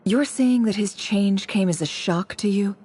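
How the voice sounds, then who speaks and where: A woman's voice: mid-range voice, a little slow, darkish tone, a little hiss or hum, lightly acted. An actress voicing a cool, shrewd woman who questions carefully and weighs a bargain, in video game dialogue. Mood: probing.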